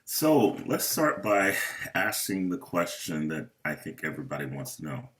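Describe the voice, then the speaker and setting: A man hosting and moderating a panel of composers over a video call, speaking in an unhurried, earnest way. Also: low voice, very animated, a bit bright. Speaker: host and festival curator.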